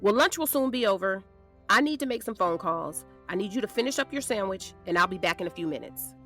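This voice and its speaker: A woman playing a sharp, protective, sassy defense lawyer who questions frankly and colloquially in an audio drama. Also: medium pitch, lively intonation, brisk, faint music behind, natural voice. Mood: wry, warm.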